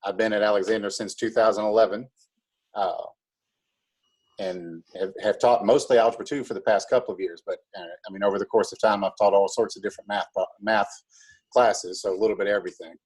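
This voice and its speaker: Male teacher in casual interview, calm, rather low and raspy, explanatory. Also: a little fast, low-quality recording. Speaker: high school math teacher and drama director.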